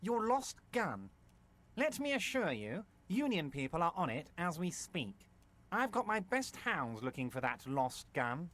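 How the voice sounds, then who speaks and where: A man's voice: a little high, big pitch swings, measured pace, fairly bright tone. An actor voicing a pompous, patronizing middle-aged male union boss in a video game, theatrical and syrupy.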